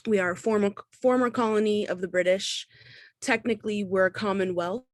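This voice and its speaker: A woman's voice: mid-range voice, fairly even intonation, a little fast, dry recording. Female artist-lecturer from the Bahamas, mid-range voice, conversational and explanatory, dry recording. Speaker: artist and professor giving a talk. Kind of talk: informal artist talk with slides.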